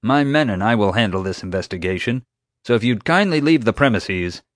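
A man voicing a pompous, gruff, territorial security chief with a curt, commanding manner in an audiobook. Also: lowish voice, expressive, brisk, no room sound, studio quality, pitched above the performer's own voice, close to an everyday voice. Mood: officious, annoyed. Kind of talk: audiobook character dialogue.

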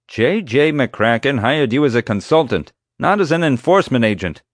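Guy doing a pompous zoo security chief for an audiobook, bossy and clipped, pitched way up, dry sound. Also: expressive, normal speed, dry recording, lightly acted. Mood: officious, stern. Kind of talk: audiobook character dialogue.

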